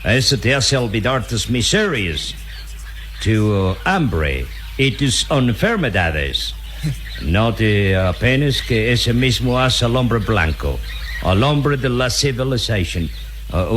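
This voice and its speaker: A scheming, unscrupulous captain in a Latin American Spanish radio melodrama. He coaxes slowly, with wide melodramatic swoops and patronizing, drawn-out hesitations. Jungle birds and background noise sit behind him. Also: medium pitch, sing-song, a bit bright. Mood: sly, coaxing. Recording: noisy jungle ambience with birdsong.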